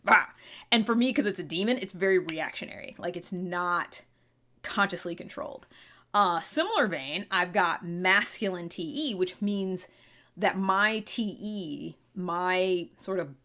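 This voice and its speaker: A woman's voice: on the low side, expressive, a little slow, bright, forceful tone. A woman hosting a podcast, talking through personality theory in a casual, chatty way full of fillers and restarts. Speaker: podcast host. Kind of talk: casual explanatory monologue.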